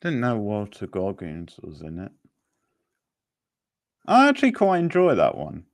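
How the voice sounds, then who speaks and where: A man's voice: on the low side, huge pitch swings, measured pace. A man hosting a live-streamed quiz in an informal, chatty way, reacting to players' answers. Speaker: quiz host.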